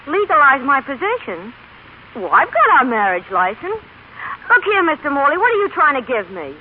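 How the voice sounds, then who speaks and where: A stubborn working-class mother in an old radio drama. She is blunt, slangy and fiercely protective, with a high, very animated delivery. The sound is thin and scratchy, like a vintage broadcast. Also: normal speed, narrow, tinny audio. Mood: suspicious, indignant. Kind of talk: 1930s radio drama acting.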